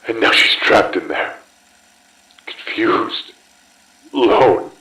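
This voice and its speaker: A slow, tense male voice put on by an actor of an obsessive, murderous scientist coming undone. He speaks in halting, brooding bursts, recorded like an echoey horror game tape. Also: fairly low pitch, fairly bright tone, heavily acted. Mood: anguished, blaming. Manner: halting, intense, brooding monologue. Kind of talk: scripted horror game audio log.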